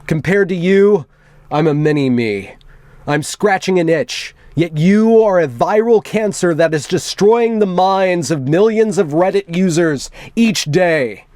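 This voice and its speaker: A man performs an aggrieved, self-important male forum poster delivering a grand manifesto. The voice is a little high and declamatory, with theatrical weight on the phrases. It is recorded very dry, as for an online video. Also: lively intonation, measured pace, darkish tone, no room sound. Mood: bitter, accusing.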